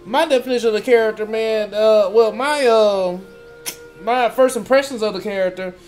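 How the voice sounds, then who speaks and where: High-voiced young man doing gameplay commentary. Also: normal speed, darkish tone. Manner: slangy, sweary, rambling. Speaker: gaming video host. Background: game music.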